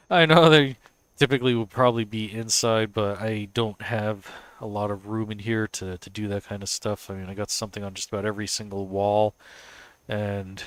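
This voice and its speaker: A man's voice: fairly low pitch, steady tone, moderate pace, clear, resonant tone, dry recording. Stuffy-nosed man muttering as he builds. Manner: thinks aloud, muttering to himself and chuckling. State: stuffy and sniffly from a cold. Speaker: live streamer. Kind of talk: casual live-stream commentary while building in a game.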